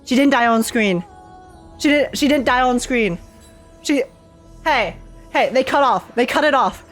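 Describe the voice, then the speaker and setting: A woman streaming a casual game reaction, talking in an insistent, halting, repetitive way. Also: a little high, faint music behind.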